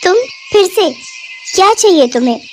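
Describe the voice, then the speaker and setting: A woman's voice: very high voice, animated, moderate pace, darkish tone, slight background noise, voice pushed up. A woman playing a young female college student in a story, dutiful yet feisty, with an earnest, firm manner. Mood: irritated, sharp.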